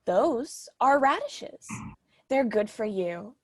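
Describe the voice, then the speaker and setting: Woman acting as a melodramatic, overbearing mother, high husky voice. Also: sing-song, slow, no room sound, clean studio sound, full character voice. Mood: doting, cooing. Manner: theatrical, gushing, pompous recitation. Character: doting, overbearing, radish-obsessed mother, grandly melodramatic. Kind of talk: stage comedy acting.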